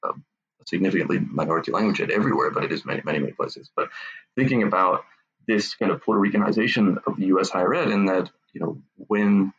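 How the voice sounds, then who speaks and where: A lower-pitched man with a husky edge, thinking aloud as he answers in an interview. He is from Massachusetts and speaks haltingly, restarting sentences and repeating words. The recording sounds dull and slightly lo-fi. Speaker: author and academic.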